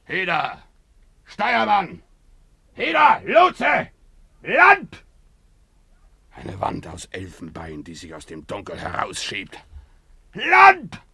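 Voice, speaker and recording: Greedy drunken pirate skipper, 1950s radio drama; forceful, gravelly, swinging widely from mutter to bellow. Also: male voice, sits high, wildly swooping pitch, slow, pauses often, full character voice. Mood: weary, boastful. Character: drunken, boastful, greedy pirate sea captain. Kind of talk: 1950s German radio play acting.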